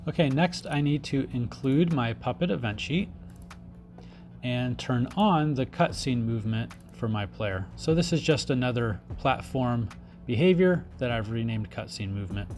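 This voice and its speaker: A man narrating a software tutorial, informally talking through each step as he works. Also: mid-range voice, lively intonation, normal speed, faint music behind. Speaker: tutorial instructor. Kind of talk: screen-recorded software tutorial, step-by-step explanation.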